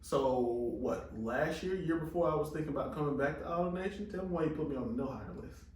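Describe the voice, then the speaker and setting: A man's voice: a little high, quick pace, recorded from a distance, a little hiss or hum. Laid-back man telling a story, echoey. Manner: loose, profane storytelling. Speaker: podcast co-host reading posts. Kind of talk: casual chat. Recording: echoey room, off mic.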